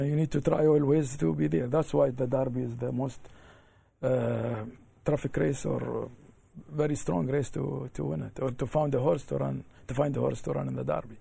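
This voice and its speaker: A racehorse owner, a man, answering interview questions in a studio in halting, non-native English phrasing. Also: mid-range voice, fairly even intonation, vocal fry, dark tone, husky. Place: radio studio.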